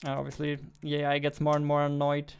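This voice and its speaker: A young man talks through his coding session at a desk, half-distracted and muttering as he works. His voice is very smooth and clear. He keeps his pitch flat, with little lift or fall. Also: a little fast. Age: young adult. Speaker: indie game developer. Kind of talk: thinking aloud while coding. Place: small room at a computer desk.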